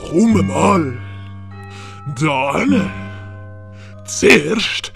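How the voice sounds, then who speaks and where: A woman's voice: deep voice, lively intonation, drawn-out words, creaky, faint music behind, voice pushed down, exaggerated voice. A woman with a Swiss German accent voicing a gloating, scheming male villain master in an audio story. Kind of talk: hobby audiobook character acting.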